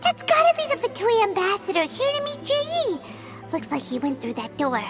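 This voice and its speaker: Tiny fairy game sidekick, super high squeaky kid voice, perky and bouncing all over, music underneath. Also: female voice, wildly swooping pitch, brisk, heavily acted. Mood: eager. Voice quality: squeaky, childlike. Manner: perky, exclamatory. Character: tiny childlike fairy companion, eager and excitable. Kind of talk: video game voice acting.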